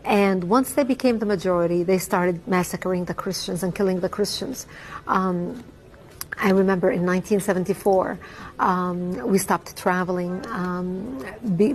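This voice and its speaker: A woman's voice: medium pitch, a little mellow, poor audio. Earnest woman in her sixties. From Lebanon. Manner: measured, earnest, with frequent ums. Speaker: interviewee. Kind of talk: personal testimony.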